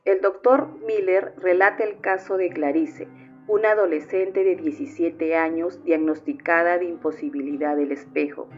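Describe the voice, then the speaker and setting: A woman reading scripted narration as a narrator. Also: lowish voice. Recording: dull lo-fi audio with music. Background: music.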